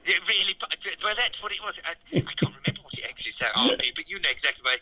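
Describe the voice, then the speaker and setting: A man's voice: sits high, lively intonation, fast talker, bright, forceful tone, no echo, poor audio. A man with a 1950s Received Pronunciation accent, a comedy entertainer talking as a radio interview guest in a plummy, theatrical way.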